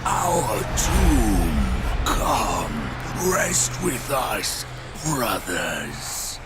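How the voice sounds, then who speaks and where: An undead warrior from a video game, mournful and menacing. He beckons in a low, slow voice with wide swings of pitch. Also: huge pitch swings, exaggerated voice. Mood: eerie beckoning. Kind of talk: video game creature acting. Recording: loud music over the voice.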